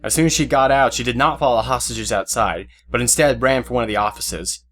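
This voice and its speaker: A man's voice: medium pitch, fairly quick, fairly bright tone. Male audio drama narrator over music. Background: music, guitar.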